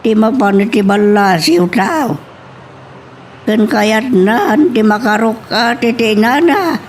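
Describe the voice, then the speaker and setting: A woman's voice: on the high side, slow pace. A woman playing a familiar, talkative office staffer in an Ilocano audio drama, musing as she talks. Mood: musing, advising. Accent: Ilocano Filipino. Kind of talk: amateur audio drama dialogue. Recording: faint background noise.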